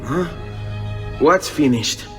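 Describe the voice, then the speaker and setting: A man's voice: a little high, animated, slow, a bit bright, big-room sound, faint music behind. An actor playing an earnest young nobleman turned avenger, with an Italian accent, in a dramatic video game style. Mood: curious.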